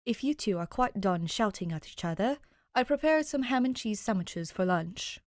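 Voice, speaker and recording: A woman voicing a long-suffering English butler in an audiobook, posh, calm, formal and deadpan. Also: mid-range voice, moderate pace, no echo, clean studio sound, close to an everyday voice. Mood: stern. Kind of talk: audiobook character voice, fan fiction reading.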